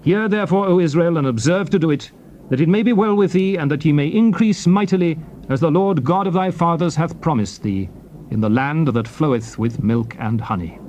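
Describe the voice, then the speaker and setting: A man giving a solemn, dramatized reading of King James scripture, declaiming it in deliberate phrases. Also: on the high side, a little mellow. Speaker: scripture reader. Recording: noisy old cassette tape recording.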